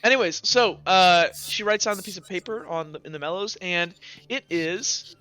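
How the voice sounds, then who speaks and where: High-voiced, bright-sounding male game master. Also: moderate pace, clean tone, soft background music, rough recording. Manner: joking, informal. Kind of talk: casual narrating of a tabletop game.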